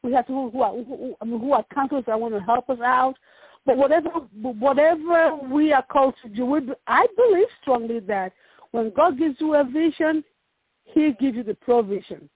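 A woman's voice: high voice, fairly quick, slightly rough, dry recording. Nigerian woman on a phone line. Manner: earnest, repeats words while searching for them. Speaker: ministry founder, guest. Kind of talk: casual interview talk.

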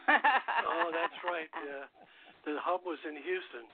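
A man's voice: fairly high pitch, lively intonation, slow, breathy edge. An older man hosting a radio show, chatting casually. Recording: tinny, narrow phone-like line.

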